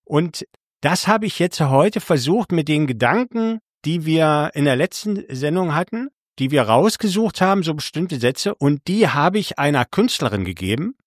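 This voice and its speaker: A man's voice: a little high, very animated, moderate pace, no room sound, clean studio sound. A man co-hosting a casual podcast, who rambles and corrects himself mid-sentence. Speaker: podcast co-host. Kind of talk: casual chat.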